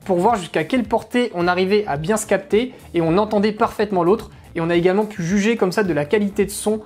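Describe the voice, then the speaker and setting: Fast-talking woman reviewing gear. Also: medium pitch, darkish tone. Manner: informal, chatty. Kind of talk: casual explanatory product review narration.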